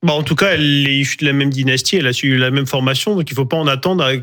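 A man's voice: medium pitch, fairly even intonation, very fast, a bit bright. A man speaking as an analyst, explaining his reading of events in a live discussion. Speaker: panel analyst.

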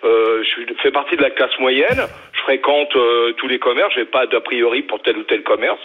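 A man in his fifties from Brittany calling in to a radio show, talking haltingly and restarting his words. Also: medium pitch. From Brittany, western France. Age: 50s. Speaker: radio caller. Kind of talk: phone-in call. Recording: tinny phone line.

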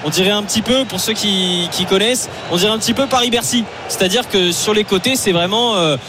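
A man's voice: high-pitched, brisk, background noise. A man giving live football commentary on radio, hesitant with many fillers. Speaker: match commentator.

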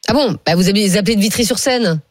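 A woman hosting a radio call-in show, moving things along briskly and often laughing and teasing. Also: medium pitch, no echo, clean studio sound. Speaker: radio host.

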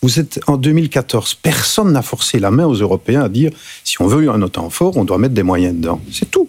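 A man speaking as a panelist in a televised debate, arguing emphatically and pushing his voice up to sharp peaks. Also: medium pitch, sing-song, brisk, breathy edge.

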